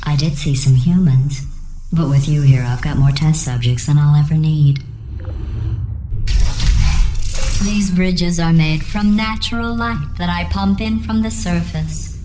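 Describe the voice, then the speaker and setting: Low, synthetic, passive-aggressive female AI. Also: normal speed, echoey room, faint music behind. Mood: smug calm. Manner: deadpan, sarcastic, falsely sweet. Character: female artificial intelligence running tests, passive-aggressive, coldly cruel. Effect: synthetic robotic processing. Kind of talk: video game character dialogue.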